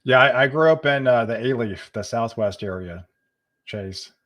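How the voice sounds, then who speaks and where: Halting man chatting on a livestream. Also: medium pitch, a bit bright. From Texas, USA. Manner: halting, repeats words, chuckles often. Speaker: weather channel host. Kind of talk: casual livestream chat.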